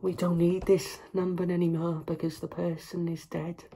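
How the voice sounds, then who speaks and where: An actor playing a grieving, literal-minded young person in a stage monologue, speaking haltingly and earnestly with repeated phrases. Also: mid-range voice, level tone, mellow, slight background noise, natural voice. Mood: wistful, hesitant.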